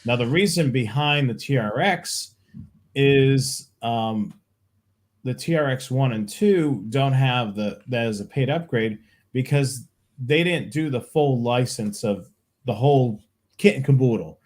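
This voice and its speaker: A man's voice: mid-range voice, measured pace. A man hosting a live show, answering tech questions in an informal, plain-spoken way. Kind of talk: casual tech Q&A talk.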